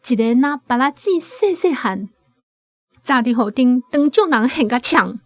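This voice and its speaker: A woman narrates a radio drama in a Taiwanese accent. Her voice sits fairly high, and she tells the story at a very unhurried pace. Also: lively intonation, dry recording.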